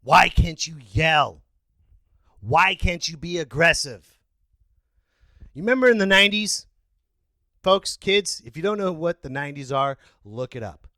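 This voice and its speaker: A man hosting a podcast, ranting loudly and bursting into sudden yells. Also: a little high, creaky, dry recording. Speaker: podcast host. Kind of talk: opinion rant.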